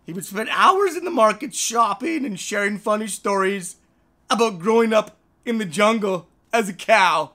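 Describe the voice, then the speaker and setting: An animated storyteller reads a children's bedtime tale with a bright, forceful voice and big swings in pitch. The delivery is theatrical and a little halting, doubling back on words and slipping in jokey asides. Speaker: author reading his own short story.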